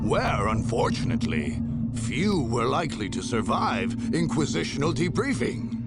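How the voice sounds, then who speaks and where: A solemn chronicler narrating a grim sci-fi war game. The voice is gravelly and sits a little high, rising and falling theatrically with grave, deliberate emphasis. Music plays underneath. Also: male voice, exaggerated voice. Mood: solemn, authoritative. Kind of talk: dramatic scripted game narration. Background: music behind the voice.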